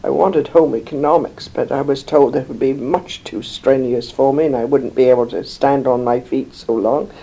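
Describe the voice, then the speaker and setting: An older woman from England, slowly recalling her life in an interview, rambling with many pauses and fillers. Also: extremely low pitch, fairly even intonation, dark tone, husky, low-quality recording. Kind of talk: oral history recollection.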